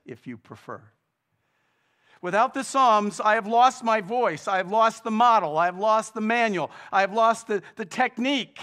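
Middle-aged pastor guy preaching, slow and deliberate, surges loud and passionate then settles. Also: high-pitched. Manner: slow and deliberate, rising to loud fervent peaks. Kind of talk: sermon.

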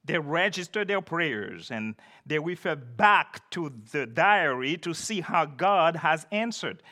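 An older man whose first language is French, delivering a sermon. He speaks slowly and deliberately, his fairly high voice rising and falling expressively as he leans on key words. The tone is bright and forward. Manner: deliberate, emphatic preaching with occasional self-deprecating laughs. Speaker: pastor.

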